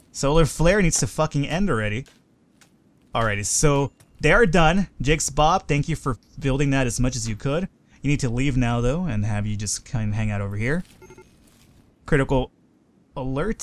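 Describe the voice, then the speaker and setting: A man casually commenting on his strategy game as he plays, talking to his units and swearing now and then, his voice a little hoarse. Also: medium pitch. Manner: chatty, swears when frustrated. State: slightly hoarse. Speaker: let's play host.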